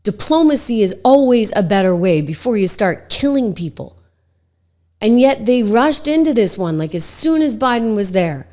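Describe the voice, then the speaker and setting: A middle-aged woman hosting a political talk show, slightly hoarse from a cold, talking emphatically and conversationally with lots of "like" fillers. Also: on the high side, lively intonation, moderate pace, darkish tone. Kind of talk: opinionated political commentary.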